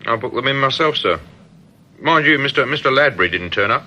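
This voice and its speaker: An actor playing a deferential, plain-spoken security guard in a radio drama, terse and matter-of-fact, heard over a telephone. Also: male voice, medium pitch, animated, a little fast, pushed and bright. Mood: dutiful. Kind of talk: British radio drama acting. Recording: dull, band-limited phone line.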